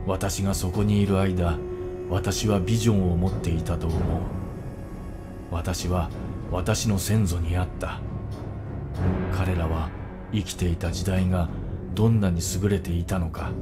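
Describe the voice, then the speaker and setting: A deep-voiced, world-weary bear warrior from an anime cutscene, acted by a man, narrates in a solemn, grumbling way. His delivery is measured and dramatic, with loud music behind it. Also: fairly even intonation, lightly acted. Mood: grave, aggrieved.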